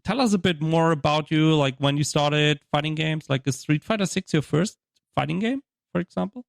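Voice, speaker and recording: A man's voice: a little high, normal speed, creaky, dry recording, clean studio sound. A man interviewing a tournament winner in a casual chat, with a teasing, jokey manner. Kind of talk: casual video-call interview.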